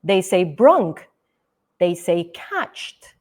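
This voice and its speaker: Middle-aged woman from Turkey, native Turkish speaker; unhurried, thoughtful interview guest, filler-heavy. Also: on the low side, very animated, darkish tone. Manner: thoughtful, many fillers, repeats "et cetera". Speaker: guest educator. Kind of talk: podcast interview.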